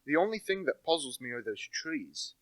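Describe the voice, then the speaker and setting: A young man acting a thoughtful amateur detective in a radio play, speaking in a deliberate, pondering way. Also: fairly high pitch, very animated, a bit bright, no echo. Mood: frustrated, puzzled. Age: young adult. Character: thoughtful male amateur detective, puzzling and terse.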